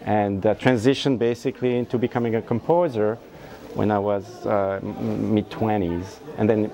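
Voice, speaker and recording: A middle-aged man from Switzerland speaks in a low, mellow voice. He answers interview questions haltingly, with plenty of fillers and restarts. His tone stays soft and relaxed throughout. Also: gravelly, a little hiss or hum. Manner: hesitant, many fillers and restarts. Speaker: composer and conductor. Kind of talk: interview at a rehearsal.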